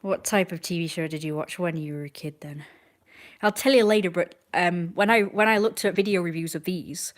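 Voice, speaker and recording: A woman in her twenties chatting casually on a live stream, rambling and answering viewers' comments as they come in. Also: fairly low pitch. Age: 20s.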